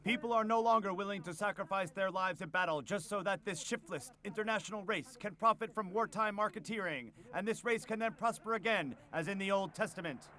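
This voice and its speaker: A man's voice: high-pitched, fairly quick, bright, forceful tone, slight background noise. A man voicing a menacing, fanatical political orator in a translated speech, declamatory and emphatic. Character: menacing, fanatical male fascist orator addressing comrades.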